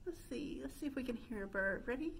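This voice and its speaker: A woman's voice: high voice, lively intonation, fast talker, gravelly, a bit bright, slight background noise. A woman in her thirties leading an interactive pretend-play storytime, asking young children questions in a sing-song manner. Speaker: children's librarian hosting storytime. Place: indoor room with stone fireplace.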